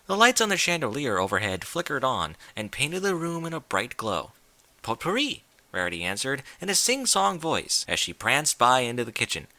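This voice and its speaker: A man's voice: mid-range voice, sing-song, brisk, few pauses, sharp tone, no room sound. A man reading scripted fiction as an audiobook narrator.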